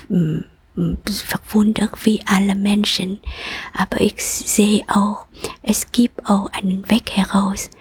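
Interviewte Nonne, Frau mittleren Alters mit vietnamesischem Akzent, hauchig, langsam, ruhig. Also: female voice, mid-range voice, husky. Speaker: Buddhist nun, interviewee. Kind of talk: calm reflective interview answers.

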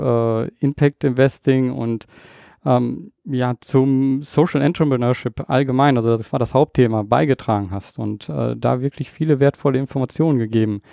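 A man's voice: medium pitch, creaky, warm tone, no echo, studio quality. A man hosting a podcast, introducing his guest and asking questions in a chatty way with many fillers. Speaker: podcast host. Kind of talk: casual podcast interview.

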